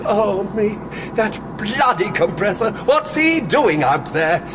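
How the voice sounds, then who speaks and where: An actor playing a pompous, wordy, theatrical gravedigger boss in a radio drama. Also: male voice, high-pitched, very animated, takes it slowly, background noise, heavily acted. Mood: exasperated. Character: florid, jovial, pompous gravedigger boss who runs exhumations.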